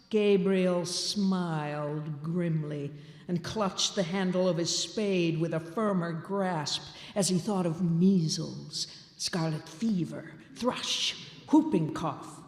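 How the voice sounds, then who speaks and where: Unhurried woman storyteller, expressive delivery, roomy hall echo. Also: on the low side. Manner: slow, deliberate, expressive. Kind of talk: dramatic reading of a classic story. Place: large town hall stage, live show.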